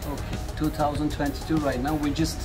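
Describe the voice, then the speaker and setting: A man's voice: fairly high pitch, little pitch movement, brisk, over music. A man in his forties with a Turkish accent, a restaurant owner speaking simple, matter-of-fact English at a table in a small restaurant.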